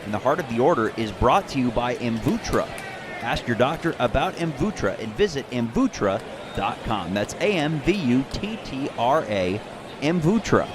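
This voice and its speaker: A man calling baseball play-by-play in a descriptive, measured radio broadcast style. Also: mid-range voice, sing-song, music in the background. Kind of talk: live radio sports commentary with sponsor reads.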